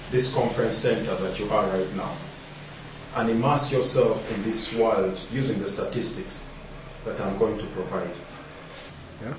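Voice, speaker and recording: A man's voice: lowish voice, background noise. A man from Uganda giving a conference presentation, building his points with repeated rhetorical questions. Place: large conference hall. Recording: echoey hall, speaker far from mic.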